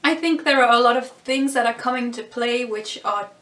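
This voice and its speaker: A woman with a fairly high voice, losing her voice, chats through her thoughts as a casual vlogger. She muses aloud, backs up and restarts her phrases, and slips in small laughs. The room around her rings with echo. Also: normal speed, fairly bright tone. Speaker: vlogger reviewing a TV show. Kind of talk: casual vlog commentary.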